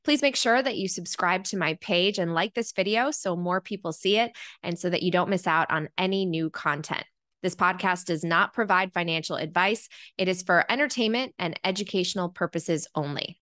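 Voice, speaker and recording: A woman's voice: medium pitch, fairly bright tone. A woman from Chicago hosting her podcast, reading her intro and sponsor spots and chatting with a guest in an upbeat, enthusiastic way. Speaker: podcast host.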